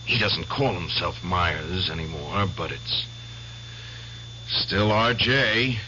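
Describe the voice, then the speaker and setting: Deep, forceful, vengeful radio veteran. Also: male voice, animated, slow pace, slight background noise, full character voice. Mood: intense, resolved. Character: obsessed, vengeful middle-aged war veteran. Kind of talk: old-time radio drama acting. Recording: dull lo-fi radio drama recording.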